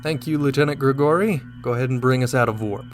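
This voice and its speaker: A man's voice: medium pitch, quick pace, faint music behind, lightly acted. A man playing a confident, dashing starship captain, commanding and wry, in a sci-fi radio drama. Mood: brisk, official.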